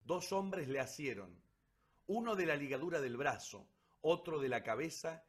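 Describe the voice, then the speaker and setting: A man's voice: on the high side, expressive, moderate pace, breathy edge. A man reading scripted, dramatic narration as the narrator of a radio play.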